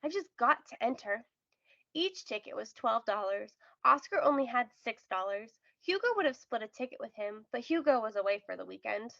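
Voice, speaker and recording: A woman narrating a children's storybook aloud with careful, expressive storytelling. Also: on the high side, normal speed, gravelly, hardly any pauses, no room sound, highs cut off. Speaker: storybook reader.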